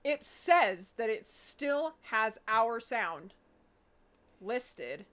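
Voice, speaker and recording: A woman's voice: a little high, sing-song, very slow, fairly bright tone. A woman hosting a casual livestream chat with fellow cast members. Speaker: show host running the livestream.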